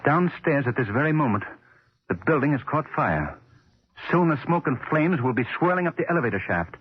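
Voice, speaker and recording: An actor playing a resolute male sleuth in 1940s radio drama, speaking in a commanding, deliberate, articulate way. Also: a little high, very animated. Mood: grave, deliberate. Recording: dull 1940s radio recording.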